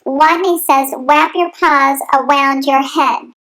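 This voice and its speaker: A tiny, timid boy rat from a storybook, played by a woman, squeaky and high. He speaks slowly and turns his Rs into Ws. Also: fairly even intonation, sharp tone, heavily acted. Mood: timid, trembling. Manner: says W in place of R. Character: tiny timid young male rat with speech impediment. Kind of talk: children's story read aloud.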